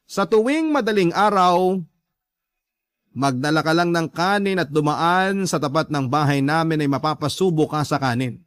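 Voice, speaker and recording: A man with a slightly high voice narrates as a radio storyteller. His scripted reading is dramatic and measured, with pauses, in a very dry recording. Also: no room sound.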